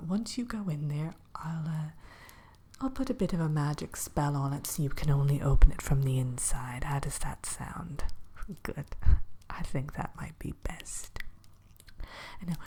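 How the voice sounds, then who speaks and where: A woman's voice: low voice, mellow, full character voice. A woman playing a kindly, spooky witch innkeeper in a whispered ASMR roleplay, musing hesitantly with coy mischief as she tends to a guest. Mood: hushed, gently cautioning. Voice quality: breathy, whispery.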